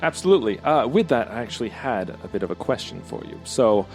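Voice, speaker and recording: A man's voice: medium pitch, very animated, fast, faint music behind. A man playing a tabletop roleplay game, improvising in character and describing what his character does.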